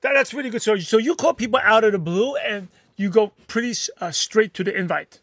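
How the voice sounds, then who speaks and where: High-voiced male podcast interviewer. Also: a little fast, fairly bright tone, no echo. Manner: probing follow-up questions, some restarts. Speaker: podcast host. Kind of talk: interviewer asking questions.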